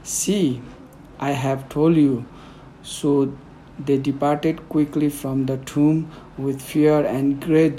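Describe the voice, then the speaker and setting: Soft-spoken Nepali-accented man. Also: mid-range voice, a little hiss or hum, low-quality recording. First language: Newari. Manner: soft-spoken, hesitant with fillers. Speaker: guest reader. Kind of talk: conversational interview, then Bible reading.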